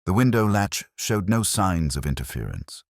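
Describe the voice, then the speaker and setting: A man playing a world-weary, middle-aged English detective, narrating a mystery in a measured, reflective, literary and sombre way. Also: low voice, big pitch swings, no echo, clean studio sound. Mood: sombre, watchful. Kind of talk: scripted first-person mystery narration.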